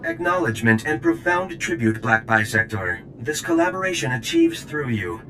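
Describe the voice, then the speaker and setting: A man's voice: huge pitch swings, moderate pace. A man voicing an alien ambassador droid in a video game, formal and stilted, with oddly cryptic phrasing. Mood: gratified, ceremonious. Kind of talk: video game character acting. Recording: clear rumbling noise behind.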